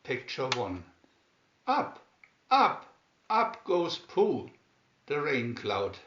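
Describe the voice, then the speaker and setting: Slow, animated male storybook reader in a roomy space. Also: mid-range voice, a little hiss or hum. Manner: deliberate, with emphatic swoops on key words. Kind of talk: scripted children's story narration, read aloud slowly and expressively. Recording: dull, roomy home recording.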